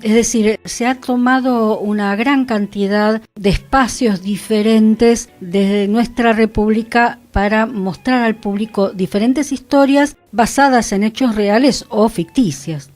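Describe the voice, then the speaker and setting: Argentine lady from buenos aires chatting as a radio host, voice a bit high. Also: unhurried, hardly any pauses, a little mellow. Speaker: actress. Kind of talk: conversational radio talk. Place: radio studio.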